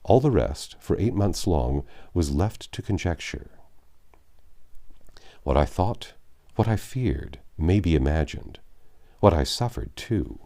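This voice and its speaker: A man voicing a grave, sorrowful middle-aged English gentleman in a classic novel, speaking formally and earnestly, with some halting. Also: deep voice, animated, heavily acted. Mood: sombre, regretful. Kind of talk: dramatic audiobook reading of classic novel.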